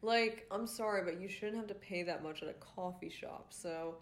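Young woman from rhode island, stuffy nasal voice, kinda low, venting on her podcast and swearing a lot. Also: moderate pace. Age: young adult. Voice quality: nasal, congested. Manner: frustrated, swears often, many fillers. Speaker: podcast host. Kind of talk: casual ranting monologue.

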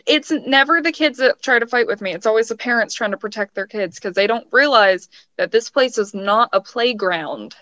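A woman's voice: on the high side, lively intonation, normal speed, hardly any pauses, pushed and bright, no echo. A young woman managing a haunted attraction, speaking frankly and a little exasperated in a casual interview, on a dull lo-fi recording. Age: young adult. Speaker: haunted house general manager.

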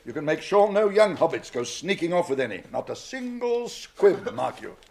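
An older English man playing a gruff but kindly wizard in a radio drama, authoritative and teasing, with a dry wit. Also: a little high, big pitch swings, moderate pace, a little mellow.

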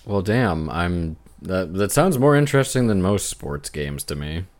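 Low-voiced, animated man chatting casually. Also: measured pace. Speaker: podcast co-host. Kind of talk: casual unscripted video game discussion.

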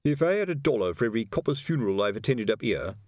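A man's voice: mid-range voice, sing-song, fast, no room sound, clean studio sound, close to an everyday voice. A man voicing a weary, authoritative veteran police sergeant in an audiobook. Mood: chatty. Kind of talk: audiobook character voice.

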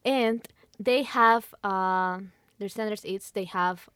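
A woman's voice: medium pitch, slow, a bit bright, smooth, dry recording, clean studio sound. A young Peruvian woman with a Peruvian Spanish accent, a guest chatting casually and sometimes hunting for English words. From Peru. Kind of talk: casual chat.

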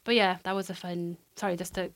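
A woman's voice: on the low side, level tone, brisk, dry recording. A woman, a podcast guest, talking in a bubbly, jokey way and chiming in often. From Wales. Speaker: podcast guest, dance student. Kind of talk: casual chat.

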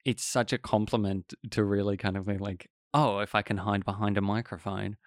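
A man's voice: fairly low pitch, level tone, a little fast, no room sound, studio quality. A man with an Australian accent hosting a casual podcast chat, rambling and chatty with lots of fillers and restarts. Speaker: podcast host.

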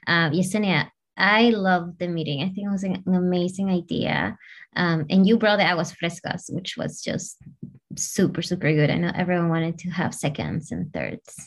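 A woman's voice: on the low side, animated, moderate pace. Curious woman co-host from Peru. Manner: warm, curious, asks eager questions. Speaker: podcast co-host. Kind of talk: casual podcast chat.

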